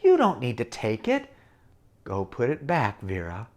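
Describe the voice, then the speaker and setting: A man voicing a gentle inner voice of conscience, speaking soft, slow and coaxing. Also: medium pitch, huge pitch swings, voice pushed down.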